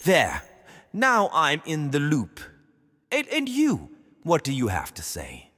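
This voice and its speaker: A man's voice: a little high, wildly swooping pitch, slow, very noisy, full character voice. Man acting a menacing dictator in a cavernous hall. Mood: exasperated, sarcastic. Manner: mock-polite, theatrical, switching from exasperated to coldly quiet. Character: menacing, smug dictator of the world, ruthlessly calm. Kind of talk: audio drama acting. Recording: long reverberant hall.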